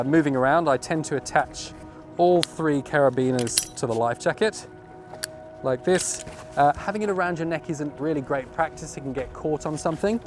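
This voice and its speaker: Higher-pitched male instructor, music behind. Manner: explanatory, informal, step by step. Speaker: sailing instructor. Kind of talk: instructional demonstration to camera. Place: outdoors at a marina, on a yacht deck and dock. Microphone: clip-on lapel mic.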